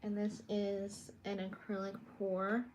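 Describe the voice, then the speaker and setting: Young woman, from California, artist on a livestream, soft-spoken, a bit awkward, slow-paced, faint background noise. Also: mid-range voice, monotone. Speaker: artist showing her paintings on a livestream. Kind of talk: casual livestream chat, answering viewer comments. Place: her bedroom, indoors.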